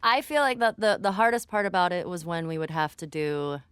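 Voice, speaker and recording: A woman's voice: fairly low pitch, lively intonation, a little fast, smooth, dry recording. A woman chatting casually as a performance capture actress looking back on her work. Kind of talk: casual chat.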